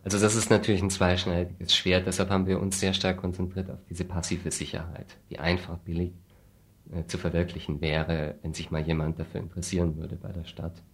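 A man with a very low, husky voice speaks as an interview guest. His pitch barely moves as he explains his points in a hesitant, critical, matter-of-fact way. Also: flat delivery, a little fast. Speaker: interview guest, refugee aid activist. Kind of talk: radio interview, earnest explaining.

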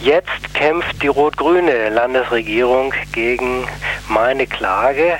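A man giving a radio interview, explaining his case in a deliberate, hesitant manner. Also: medium pitch, a bit bright, a little hiss or hum, rough recording. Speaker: interview guest, anti-nuclear plaintiff.

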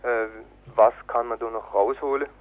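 A man interviewed as an activist, explaining earnestly and haltingly over a noisy, tinny phone-like line. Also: medium pitch, steady tone. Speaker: political activist being interviewed. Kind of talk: spontaneous spoken interview.

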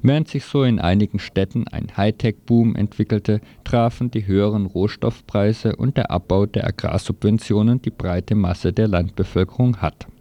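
A man presents radio news in a low-pitched, gravelly voice with a slightly dark tone. His delivery is that of a read morning report. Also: normal speed, few pauses.

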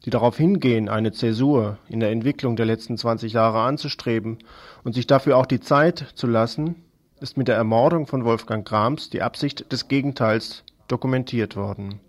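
A man's voice: mid-range voice, normal speed. A man presenting scripted political news commentary on the radio.

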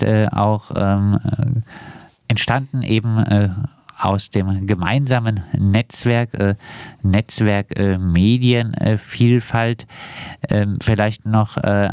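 Male radio interviewer, deep voice, very slow halting delivery full of hesitations. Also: a little mellow, clean tone, dry recording, studio quality. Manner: very hesitant, frequent filler pauses.

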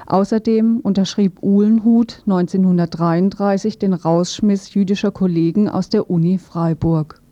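Mellow woman reading a radio feature. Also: medium pitch, fairly even intonation, normal speed, few pauses. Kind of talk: scripted news feature narration, read aloud. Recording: dull, roomy lo-fi radio recording.

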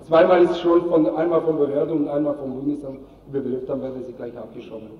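A man speaking as an activist spokesman in non-native German, tired, making an impassioned speech in halting, emphatic short phrases. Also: a little high, little pitch movement, slight background noise. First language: not German. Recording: echoey, distant, dull rally recording.

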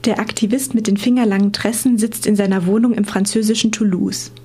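A woman's voice: mid-range voice, fairly even intonation, a little fast, warm tone, husky. Woman narrating a scripted radio report.

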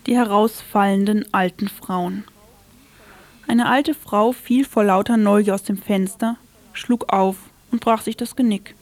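A woman reading a scripted literary radio feature in a measured, storytelling way. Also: medium pitch, fairly even intonation, a little mellow. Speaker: radio presenter.

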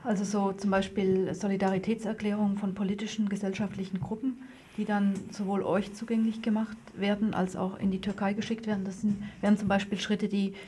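A woman's voice: mid-range voice, level tone, a little fast, warm tone, slight background noise. Woman presenting informal community radio news.

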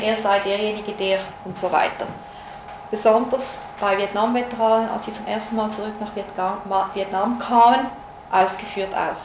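Female lecturer, Swiss-raised, explanatory, fairly high voice, roomy and noisy recording. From Switzerland. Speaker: psychologist giving a lecture. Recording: echoey room recording with noise.

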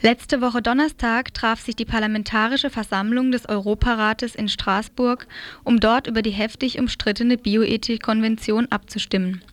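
Higher-voiced woman reading news, dry sound. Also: steady tone, normal speed, no echo. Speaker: news reader. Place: radio studio.

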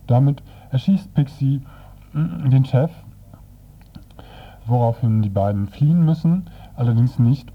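A man presenting on radio, retelling a comic's story in a dry, hesitant, semi-improvised way with a husky voice. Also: mid-range voice. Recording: dull, lo-fi radio recording.

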